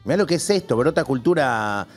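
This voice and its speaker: A man's voice: mid-range voice, animated, a little fast, faint music behind. A man in his thirties with an Argentine accent, hosting a casual comedic studio chat in a joking, provocative, slangy manner, close on a studio microphone. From Rosario, Argentina. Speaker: host. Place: streaming studio. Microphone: close studio microphone with headphones.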